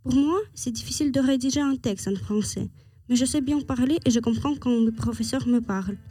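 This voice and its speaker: A woman from Ukraine answers as a student in an interview, with prepared phrasing. Her voice is fairly high and soft in tone, dryly recorded. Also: brisk, breathy edge, no echo. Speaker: language student being interviewed.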